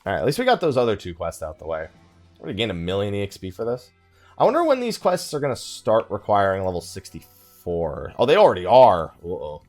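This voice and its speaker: A man's voice: on the low side, huge pitch swings, a little fast, darkish tone, soft background music. A man hosting a gaming let's play, chatting casually in a rambling, joking way with mock exasperation and self-mockery. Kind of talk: casual chatty game commentary.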